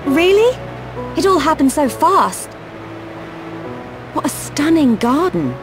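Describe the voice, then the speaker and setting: An animated young adventuring woman, fairly high-pitched, kind and direct in game-drama style. Music is clearly audible behind her. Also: slow, full character voice. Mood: bright, friendly. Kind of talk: video game voice acting.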